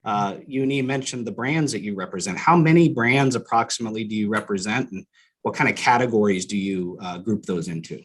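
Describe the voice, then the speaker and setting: A man's voice: fairly low pitch, fairly quick, breathy edge. A man in his forties hosting a panel interview, encouraging and friendly with his guests. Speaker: host.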